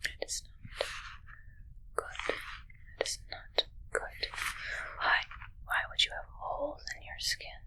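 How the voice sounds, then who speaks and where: A woman playing a puzzled, anxious spaceship medic in a whispered, breathy ASMR roleplay, with halting, literal, repetitive phrasing. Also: bright, forceful tone, low-quality recording. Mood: puzzled, uneasy. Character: puzzled, anxious female ship medic examining for aliens.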